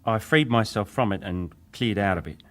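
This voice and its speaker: A deep-voiced young ship's wireless operator , played by a male actor, testifies at a dramatized hearing. He answers with measured deference, sometimes hesitating, as a survivor choosing his words. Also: natural voice. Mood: subdued, deferential.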